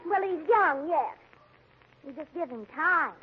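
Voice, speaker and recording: Prim young big sister, high expressive voice, corrective, 1940s radio comedy, muffled recording. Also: female voice, measured pace. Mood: patient, defensive. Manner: prim, corrective, flustered at times.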